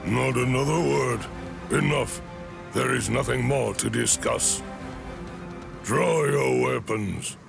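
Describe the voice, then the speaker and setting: A man voicing a stern, imposing old sword master in an anime game, with commanding, formal speech and a gravelly, creaky voice. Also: medium pitch, takes it slowly, long pauses. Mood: stern, impatient. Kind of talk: dramatic anime game voice acting. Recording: orchestral game music behind the voice.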